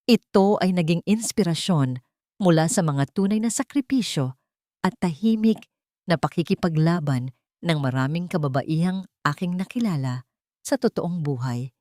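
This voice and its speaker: Female storyteller for audio drama: lower register, measured and sentimental delivery, very dry recording. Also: lively intonation, warm tone, no echo. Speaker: storyteller narrator. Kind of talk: scripted audio drama narration in Tagalog.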